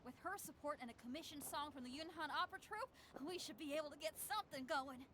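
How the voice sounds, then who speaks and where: High, spirited young rocker girl. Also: fast, a little hiss or hum. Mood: encouraging, excited. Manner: energetic, breezy, confident. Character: upbeat, bold young female rock musician. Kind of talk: game cutscene dialogue.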